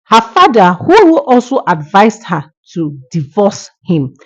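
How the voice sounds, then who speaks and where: A woman's voice: medium pitch, very animated, slow pace, breathy edge, dull audio. A woman telling a folktale in an emphatic, moralizing manner. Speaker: storyteller. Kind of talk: oral folktale narration with moral lesson.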